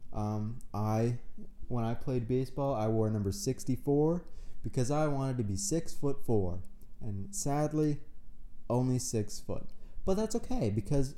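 A man's voice: medium pitch, dark tone. A man from Canada hosting a casual solo sports podcast, rambling and self-deprecating, nasal and tired from a cold. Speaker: podcast host. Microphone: own podcast microphone.

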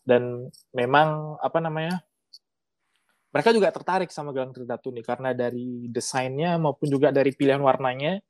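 A woman's voice: very deep voice, a little fast, darkish tone, dry recording. Chatty young Balinese woman, dry close sound. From Bali. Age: young adult. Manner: chatty, many fillers, laughs often. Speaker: podcast guest. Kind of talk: casual chat.

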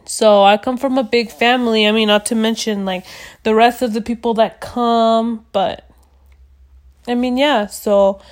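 A woman in her twenties from the United States, hosting a podcast in loud, blunt casual chat and swearing freely. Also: on the high side, steady tone, unhurried. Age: 20s. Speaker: podcast host.

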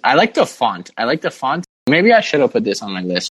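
A man with a mid-pitched voice hosts a casual sports podcast. He banters and teases playfully as he keeps things moving. The sound is dry, with very little room in it. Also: huge pitch swings, a little fast, a bit bright, husky, no room sound. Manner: playful teasing. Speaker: podcast host.

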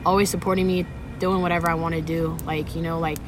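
A woman's voice: sits low, flat delivery, fast talker, music in the background. A young woman DJ from California, chatting casually in an interview with slangy, laid-back talk and easy laughter. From Sacramento, California. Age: young adult. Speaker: DJ guest.

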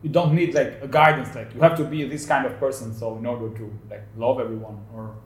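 A man's voice: medium pitch, steady tone, quick pace. A man hosting a podcast interview, asking loose, casual questions with lots of fillers. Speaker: podcast host. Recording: echoey room.

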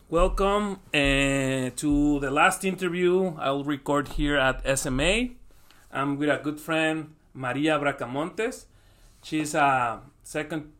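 Halting man hosting a conference podcast. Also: on the high side, a bit bright. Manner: friendly, halting, repeats words, non-native grammar. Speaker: podcast host. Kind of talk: interview podcast. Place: conference venue.

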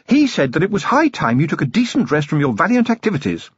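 A man's voice: a little high, wildly swooping pitch, fast, slightly rough, dry recording, dull audio, pitched above the performer's own voice, exaggerated voice. A man voicing a genteel noblewoman with a refined, gushing upper-class lilt. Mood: fond. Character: genteel noblewoman, warm and affectionate.

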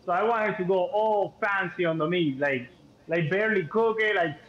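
High-voiced Cuban-accented man, echoey room. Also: moderate pace. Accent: Cuban Spanish. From Cuba. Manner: animated, repeats words, heavy on "like" and "you know". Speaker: podcast guest. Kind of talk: casual chat. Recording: dull echoey room audio with faint hiss.

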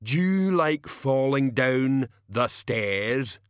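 Guy doing a big dim brute, super slow singsong, dry sound. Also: lively intonation, smooth, dry recording. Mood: menacing. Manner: slow, rumbling, childlike singsong. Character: huge slow simple-minded male thug.

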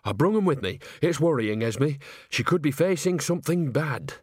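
A man's voice: on the high side, animated, moderate pace, a little mellow, breathy edge, no room sound, studio quality, pitched above the performer's own voice. A man voicing a cheerful, sly old village witch and mother, chatty and folksy, in a storybook reading. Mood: concerned.

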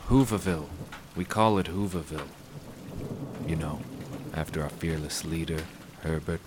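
An actor playing a gruff, cynical down-and-out war veteran in a noir audio drama, terse and sardonic. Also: male voice, a bass voice, steady tone, normal speed, noisy background. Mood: wry, weary. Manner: terse, world-weary, sardonic.

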